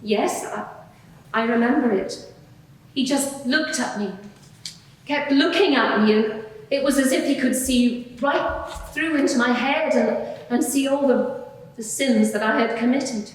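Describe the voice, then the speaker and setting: In a reverberant hall, far from the microphone, a woman plays a frank female witness in her forties with a fairly high voice. She testifies plainly and earnestly, halting now and then, in a courtroom drama. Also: close to an everyday voice. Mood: moved, earnest. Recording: echoey hall, distant microphone.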